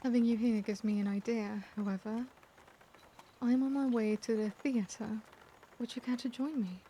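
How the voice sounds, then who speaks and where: A woman voicing an elegant, caring vampire lady in a soft-spoken ASMR roleplay, speaking gently with formal, old-world courtesy. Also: fairly high pitch, fairly even intonation, normal speed, slight background noise, lightly acted. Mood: courteous, inviting. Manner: genteel, gentle, doting, formal wording.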